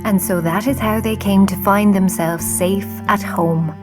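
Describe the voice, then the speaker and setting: A woman's voice: mid-range voice, fairly even intonation, measured pace. Female storybook narrator, mid-range voice, music underneath.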